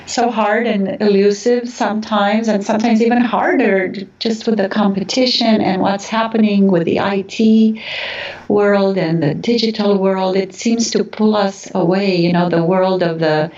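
A woman's voice: mid-range voice, steady tone, measured pace, a little mellow. A woman from Romania giving earnest, reflective answers as an interview guest, often searching for her words, in an echoey, distant recording. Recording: echoey, distant, dull sound.

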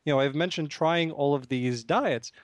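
A man's voice: on the high side, normal speed, dry recording. A man from Russia hosting a live radio talk show from a studio, chatting playfully with many fillers and laughing at himself.

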